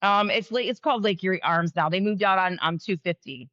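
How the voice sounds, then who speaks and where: A woman co-hosts a podcast, talking in a lively way with plenty of ums. Her voice is bright and sharp. The recording is dry, slightly dull and lo-fi. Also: medium pitch, fairly quick, dry recording. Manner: lively, animated talk with frequent ums. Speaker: podcast co-host. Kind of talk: casual chat.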